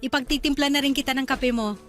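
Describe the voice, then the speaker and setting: A woman's voice: high voice, steady tone, quick pace, lightly acted. A woman with a Filipino accent playing an affectionate, bashful young newlywed wife in a Tagalog radio drama. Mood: sweet, reassuring. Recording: music bed behind the dialogue.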